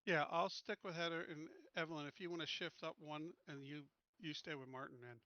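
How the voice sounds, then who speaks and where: Bright, higher-voiced man organizing fellow players. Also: steady tone, brisk, no room sound, muffled recording. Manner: directing, organizing. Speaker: tabletop game player. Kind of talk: casual game strategy talk.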